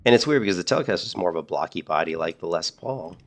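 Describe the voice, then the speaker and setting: A man in a casual, rambling chat about guitars, losing his voice a little and clearing his throat often. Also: sits low, animated, rapid-fire. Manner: rambling, repeats himself, frequent throat clearing. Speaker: streamer.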